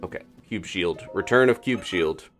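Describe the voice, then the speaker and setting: A man's voice: deep voice, moderate pace, fairly bright tone, faint music behind. A man chatting casually through a live-streamed game, wondering aloud and laughing often. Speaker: streamer playing a video game.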